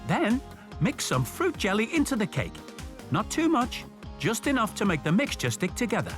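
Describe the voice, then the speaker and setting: A man telling stories and presenting for young children in an expressive, clearly enunciated way. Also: high voice, normal speed, soft background music.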